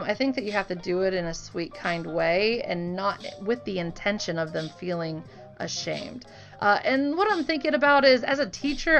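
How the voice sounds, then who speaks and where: A woman's voice: mid-range voice, a bit bright. Earnest young woman talking over music. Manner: earnest, conversational. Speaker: online art channel host; teacher. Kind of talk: informal reflective talk to viewers. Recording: voice with music behind.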